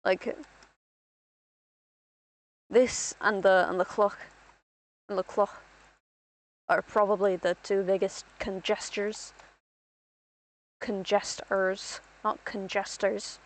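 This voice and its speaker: A woman's voice: mid-range voice, little pitch movement, slow pace, pauses often, rough recording. A young woman from Ireland rambling through casual gameplay commentary as a streamer, self-deprecating and talking to herself.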